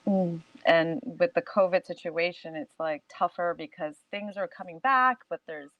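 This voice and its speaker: A woman's voice: fairly low pitch, normal speed, dry recording, muffled recording. A woman co-hosting in casual chat, encouraging and enthusiastic in the way she talks. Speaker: co-host.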